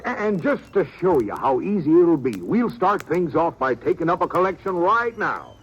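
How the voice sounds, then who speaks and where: Cheerful pushy preacher, high lively voice, unctuous, steamrolling, vintage radio western. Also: male voice, moderate pace. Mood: hearty, pushy. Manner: unctuous, steamrolling public speaking. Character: brisk, cheerfully pushy small-town western reverend. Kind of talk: 1950s radio western acting. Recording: dull vintage radio recording, crowd behind. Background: crowd.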